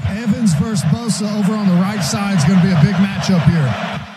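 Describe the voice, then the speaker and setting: An American man breaks down football plays as a radio analyst. His voice is notably high for a man. Very loud outdoor stadium noise surrounds it. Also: fairly quick. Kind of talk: analytical live sports commentary. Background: outdoor stadium ambience.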